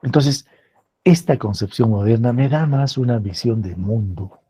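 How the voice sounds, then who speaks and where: A man with a Peruvian accent giving a lecture as a professor, slow and deliberate, repeating phrases to stress a point. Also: medium pitch, dark tone, slightly rough, no room sound. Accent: Peruvian Spanish. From Peru.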